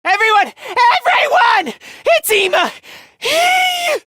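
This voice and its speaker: A man's voice: very high voice, sing-song, measured pace, bright, forceful tone, dry recording, studio quality, heavily acted. A man voicing a timid, anxious, self-loathing young male student in an anime video game, with a thin voice and a stammering, whiny delivery. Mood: panicked.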